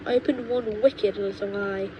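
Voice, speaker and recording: A woman narrating a children's storybook aloud, halting now and then to reread and correct her words on a low-quality home microphone. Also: fairly even intonation, muffled recording, background noise. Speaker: audiobook reader. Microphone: cheap home microphone.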